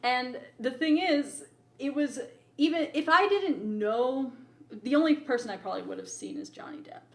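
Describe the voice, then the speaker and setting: A woman with a fairly high voice talks at length in an informal, opinionated way, like a podcast co-host reviewing films. The delivery is casual and conversational. The recording has a strong room echo. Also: moderate pace. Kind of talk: casual chat, film commentary. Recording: echoey, roomy sound.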